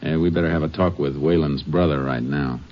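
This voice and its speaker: An actor playing a calm, methodical Texas Ranger in a 1950s western radio drama, American, asking measured, matter-of-fact questions. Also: male voice, extremely low pitch. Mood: probing, calm. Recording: muffled old radio recording with noise.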